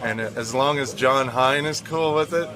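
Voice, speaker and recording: Male radio show staffer, mid-range voice, casual joking banter over clear crowd chatter. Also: medium pitch, unhurried. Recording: noisy with crowd chatter behind.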